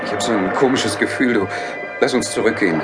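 A man's voice: on the low side, a little fast, over loud music, close to an everyday voice. An actor playing a nervous, haunted man in a horror audio drama, with a faltering, agitated delivery. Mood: uneasy.

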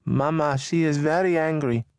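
A man's voice: a little high, moderate pace, darkish tone, clean tone, no room sound, clean studio sound, pitched above the performer's own voice, lightly acted. A man voicing an earnest young street boy answering his mother in a raised, boyish voice.

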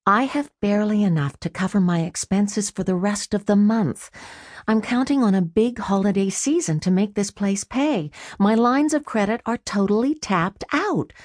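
A woman's voice: mid-range voice, normal speed, darkish tone, no echo, voice pushed up, natural voice. A woman voicing an exasperated woman shop owner with a dry, sarcastic tongue. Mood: firm.

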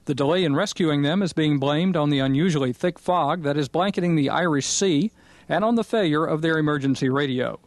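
A man's voice: fairly high pitch, a little fast, dry recording, close to an everyday voice. An actor playing a formal male newsreader delivering a report in an audio drama. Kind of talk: acted news report.

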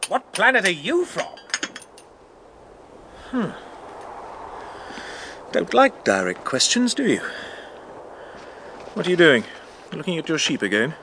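A man's voice: fairly high pitch, huge pitch swings, slow pace, long pauses, a bit bright, a little hiss or hum, full character voice. A man playing a stranded pilot in a radio drama, exasperated but kindly as he explains himself. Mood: coaxing.

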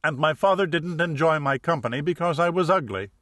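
A male narrator acts out a nervous, well-off middle-aged woman in a detective audiobook. She sounds hesitant, earnest and plaintive, with a bright tone in a dry recording. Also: a little high, a little fast, no echo, voice pushed up, lightly acted. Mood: plaintive, anxious. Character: anxious, ashamed middle-aged wealthy woman client.

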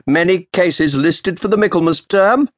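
A man voicing a stern, sardonic old man past sixty with a sharp voice and a clipped manner. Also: high-pitched, measured pace, dry recording, studio quality, pitched above the performer's own voice.

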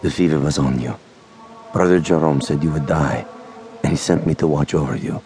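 A man playing a grave monk in a radio drama, solemn and slow-spoken. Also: a bass voice, crowd noise. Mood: gentle, pious. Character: grave, gentle male monk at remote hermitage.

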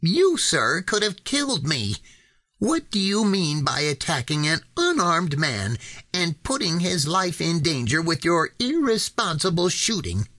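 A man's voice: on the high side, very animated, unhurried, sharp tone, dry recording, clean studio sound, voice pushed up, heavily acted. A man voicing an indignant, formal bearded doctor who scolds in stiff, proper speech.

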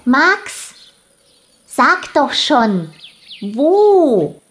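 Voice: sits high, big pitch swings, drawn-out words, a bit bright, a little hiss or hum, exaggerated voice. A performer voicing a small young female ladybird, eager and impatient, in a children's storybook. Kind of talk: children's storybook character acting.

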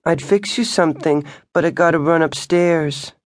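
A man's voice: moderate pace, dry recording, lightly acted. A man doing a sultry, imperious ex-wife. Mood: cool.

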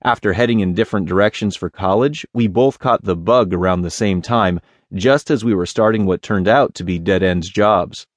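A man's voice: lowish voice, normal speed, dry recording, lightly acted. An American man playing a lonely, self-deprecating zombie who tells his story wryly in an audiobook. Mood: wry, reminiscing. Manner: wry, casual, self-deprecating. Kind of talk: first-person audiobook character narration.

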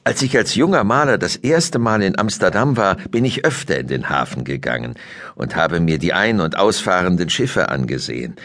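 A man's voice: deep voice, very animated, normal speed, fairly bright tone, full character voice. An actor playing an elderly painter who fondly looks back on his youth in a children's audiobook. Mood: fond, admiring.

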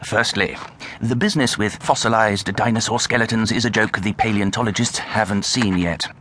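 A British actor playing a bookish, fussy angel who lectures pedantically and wryly in a radio drama. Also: male voice, lowish voice, normal speed, fairly bright tone, a little hiss or hum, close to an everyday voice. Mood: pedantic, wry. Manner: pedantic, hesitant, wry lecturing delivery. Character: bookish, fussy, donnish angel and part-time book dealer.

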